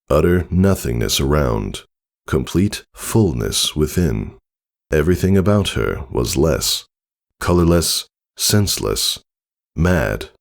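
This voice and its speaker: Male audiobook narrator, extremely low register with heavy fry, animated intonation, dramatic measured delivery. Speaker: fan fiction narrator. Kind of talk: dramatic scripted story narration.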